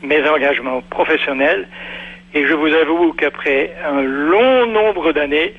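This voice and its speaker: Male caller, husky and lecturing, haughty tone, heard over a dull lo-fi radio line. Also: fairly high pitch, unhurried. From Europe. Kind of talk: phone-in radio debate.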